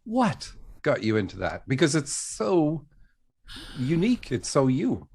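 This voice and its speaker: A man's voice: on the high side, huge pitch swings, measured pace, a little mellow, husky. A man hosting a dream interpretation podcast, chatting casually and laughing easily. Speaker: podcast host.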